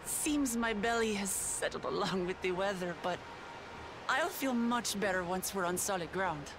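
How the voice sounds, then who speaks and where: A woman's voice: mid-range voice, moderate pace, noisy background, natural voice. An actress playing a plain-spoken young woman traveller in a video game, weary of the sea and informal in manner. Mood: weary, friendly. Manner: casual, informal.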